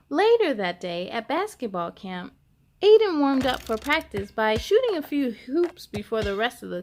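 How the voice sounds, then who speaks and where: A woman hosting a children's story time, reading aloud expressively with drawn-out words and talking directly to young listeners. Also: high voice.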